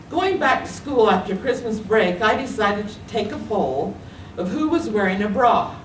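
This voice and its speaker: A woman with a fairly high voice reads her memoir aloud in a lively storytelling manner. She stands at a distance from the mic, and the room echoes around her. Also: slight background noise. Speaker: writer reading her memoir. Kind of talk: literary reading. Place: library meeting room.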